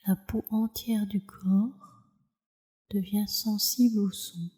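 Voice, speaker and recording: A woman guides a relaxation practice in a soothing, soft voice. Her tone is deeply mellow and warm, at a medium pitch. She takes her time, with slow, measured phrases that trail off breathily. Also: fairly even intonation. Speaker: yoga nidra teacher, meditation guide.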